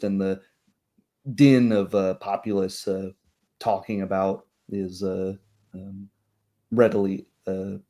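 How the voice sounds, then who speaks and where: A man narrating a tabletop roleplay as game master, in a halting, descriptive way. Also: on the low side, a little mellow.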